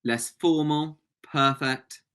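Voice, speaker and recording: A man's voice: a little high, steady tone, takes it slowly, bright, forceful tone, clear, resonant tone. A man and English speaker teaching an online language lesson, explaining points and prompting students with encouragement. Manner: encouraging, praising students' answers. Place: online webinar call.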